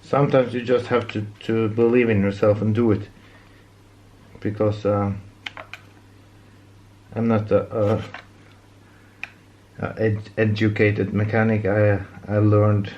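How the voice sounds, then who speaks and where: Slow, halting Norwegian man. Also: on the low side, level tone, darkish tone, muffled recording, slight background noise. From Norway. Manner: halting, frequent restarts and fillers. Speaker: DIY repair vlogger. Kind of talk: casual narration while working.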